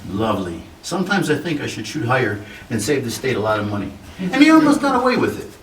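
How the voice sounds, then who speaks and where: A man's voice: mid-range voice, wildly swooping pitch, fast, distant mic. An exasperated, dry-humoured police lieutenant acted by a man in an old-fashioned radio play. His delivery swings up and down, heard in an echoey room a bit off the microphone with faint noise. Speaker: actor in a radio drama. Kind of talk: 1940s radio drama acting.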